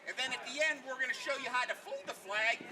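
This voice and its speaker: A man's voice: very high voice, quick pace, crowd noise. A man giving an educational talk to children, presenting in simple steps with questions and answers. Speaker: flag etiquette presenter. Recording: noisy outdoor event recording.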